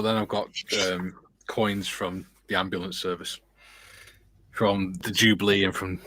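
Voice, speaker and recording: A man's voice: low voice, poor audio. A man hosting a casual stream, reading viewer comments aloud and teasing his co-hosts in a jokey way. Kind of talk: casual chat.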